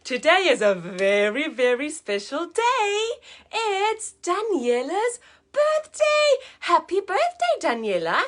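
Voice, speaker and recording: Slow, highly animated woman hosting story time. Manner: expressive, sing-song, addresses the child directly. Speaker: children's story-time host, teacher. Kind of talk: animated read-aloud for young children.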